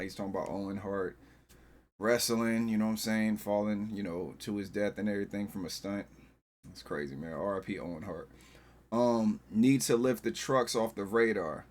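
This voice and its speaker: A man hosting a music reaction, talking casually in loose, rambling sentences and telling stories that pick up in excited bursts. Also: fairly low pitch. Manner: rambling, loose, with frequent filler phrases.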